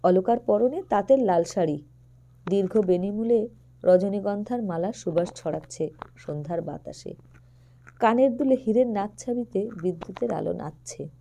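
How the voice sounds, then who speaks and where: Storyteller: a woman with a mellow, expressive voice who narrates an audio story at an even, middle pitch. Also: normal speed, a little hiss or hum.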